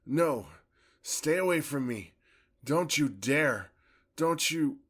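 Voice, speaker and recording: A man playing a courtly, hungry vampire lover in an ASMR fantasy roleplay, with formal, theatrical speech and a gravelly voice. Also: a little high, animated, fairly bright tone, heavily acted. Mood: desperate, struggling. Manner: theatrical, formal, drawn-out endearments. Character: courtly, hungry male vampire, tender yet predatory lover.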